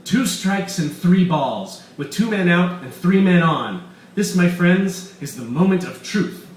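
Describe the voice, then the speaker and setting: A man's voice: high-pitched, lively intonation, unhurried, pitched above the performer's own voice. Villain for live story reading: man playing pompous, gloating evil genius, theatrical and boastful, echoey room. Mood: taunting. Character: gloating male mad-scientist villain, grandiose schemer. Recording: roomy echo, distant mic, faint room noise.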